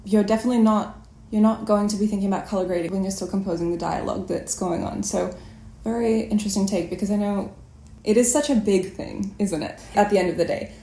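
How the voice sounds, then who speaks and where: A woman's voice: mid-range voice, steady tone, fast, dark tone, roomy sound. A woman hosting a conversational podcast interview, responding and asking questions. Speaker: podcast host interviewing filmmakers.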